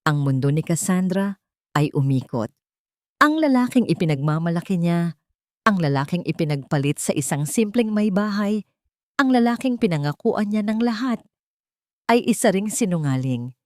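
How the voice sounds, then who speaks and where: A woman's voice: on the low side, animated, moderate pace, a little mellow, no echo, clean studio sound. A woman narrating a scripted dramatic story as a storyteller.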